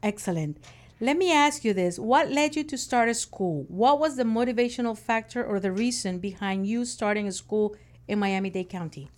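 A woman's voice: mid-range voice, moderate pace. A woman hosting an interview show, speaking in an upbeat, emphatic way and often affirming her guest. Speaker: host.

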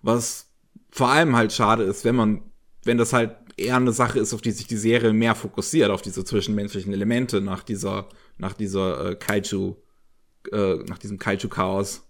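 Male co-host, relaxed review chat, hesitant delivery with lots of fillers. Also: on the low side, normal speed. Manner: hesitant, searching for words with many fillers. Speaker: podcast co-host reviewing anime.

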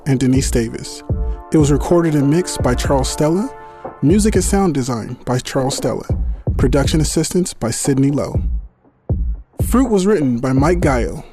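Casting: male announcer for scripted end credits, lightly raised pitch, music playing underneath. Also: expressive, normal speed. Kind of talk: scripted podcast credits read.

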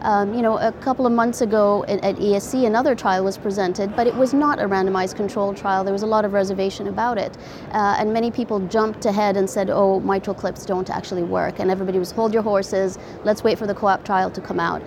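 A woman's voice: medium pitch, fairly even intonation, fast talker. A woman cardiologist as an interview guest, explaining things earnestly in a conversational way. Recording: clearly noisy conference background.

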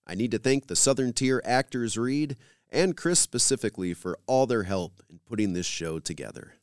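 A man hosting a podcast, reading scripted links in a measured, announcer-like way. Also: mid-range voice, no echo, clean studio sound. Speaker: podcast host. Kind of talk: scripted podcast host narration.

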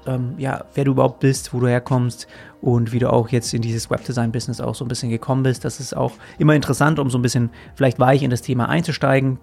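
A man's voice: medium pitch, vocal fry. A man hosting a podcast, talking casually in long, rambling sentences. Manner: rambling, loose phrasing. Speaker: podcast host.

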